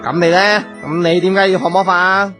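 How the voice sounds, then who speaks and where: An actor with a Hong Kong Cantonese accent playing an eccentric grand magic master who probes theatrically in a radio comedy. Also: male voice, sits high, level tone, fairly quick, pushed and bright. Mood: probing. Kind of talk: radio comedy drama acting. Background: music.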